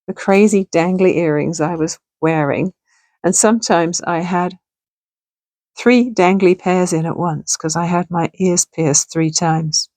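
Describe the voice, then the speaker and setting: Hoarse-voiced woman chatting through a craft. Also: on the low side, steady tone, a little slow, a little mellow, no room sound. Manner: chatty, thinking aloud while working. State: gravelly, hoarse voice. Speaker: crafting channel host. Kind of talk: casual crafting tutorial chat. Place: home sewing room.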